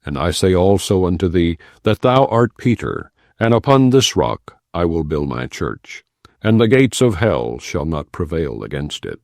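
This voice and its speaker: A man giving a measured, solemn reading of archaic scripture as an audiobook narrator, without dramatizing it. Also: sits low, very animated, gravelly, darkish tone, no room sound, studio quality.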